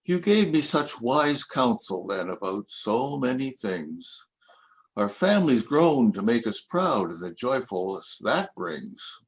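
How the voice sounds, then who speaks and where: An older man reading his own rhyming poems aloud in a measured, reflective recitation. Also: medium pitch, very animated, poor audio. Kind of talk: poetry reading.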